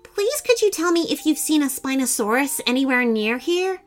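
A woman's voice: high-pitched, expressive, moderate pace, voice pushed up. A woman voicing a curious, enthusiastic young boy with a polite, questioning manner in a children's story. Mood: polite, unsure.

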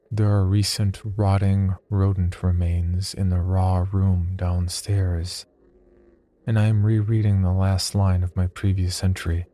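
A haunted, brooding man played by an actor confesses a dark diary in a horror audio drama. His voice is deep, dark and full of fry. He measures out his words as someone slowly unravelling, with faint noise behind him. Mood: grim, detached. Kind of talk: horror audio drama monologue, first-person diary narration.

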